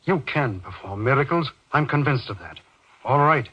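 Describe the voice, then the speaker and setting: A man's voice: medium pitch, expressive, normal speed, breathy edge. A man playing an earnest, insistent doctor in golden age radio horror acting, commanding and theatrical. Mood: urgent, insistent. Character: earnest, urgent doctor pressing a frightened man. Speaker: actor in a radio horror drama. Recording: dull lo-fi old radio recording.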